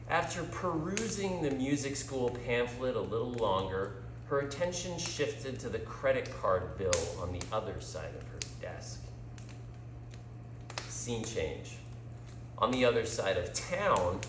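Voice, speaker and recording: A man reading a scripted story as a storyteller, with a dramatic, deliberate delivery. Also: lowish voice, background noise. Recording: echoey room.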